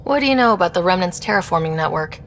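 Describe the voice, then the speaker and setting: A woman's voice: fairly low pitch, expressive, fast talker, a bit bright, a little hiss or hum. A woman playing an inquisitive young space explorer in a video game, speaking in a direct, casual, questioning way. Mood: curious. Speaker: player protagonist. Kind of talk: scripted video game dialogue.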